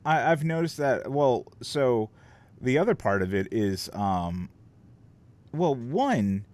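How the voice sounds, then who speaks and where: A man's voice: mid-range voice, animated, slow pace, a little mellow. A man streamer chatting casually on a desk mic, rambling and swearing, with lots of fillers. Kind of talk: casual chat. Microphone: desk microphone.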